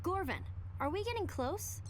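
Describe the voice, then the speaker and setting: A woman voicing a smart, sardonic teenage girl in an animated video game, with a dry, teasing manner. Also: extremely high pitch, moderate pace, background noise. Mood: questioning. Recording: loud music behind the voice.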